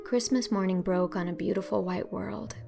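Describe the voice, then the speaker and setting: A woman's voice: mid-range voice, steady tone, moderate pace, vocal fry, music in the background. A woman narrating an audiobook in a storybook reading manner.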